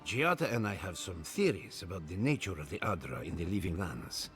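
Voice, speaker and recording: An actor playing an eager, halting scholar who searches for words in a video game. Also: male voice, low voice, very animated, faint music behind. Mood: curious. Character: eager, hesitant male scholar with theories. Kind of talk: video game cutscene dialogue.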